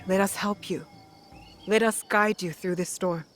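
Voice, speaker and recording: A woman's voice: mid-range voice, a little slow, soft background music, natural voice. Actress as composed, earnest warrior woman. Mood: solemn, reassuring. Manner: calm, earnest, reassuring. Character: composed, compassionate female warrior, a guiding big sister. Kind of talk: video game cutscene acting.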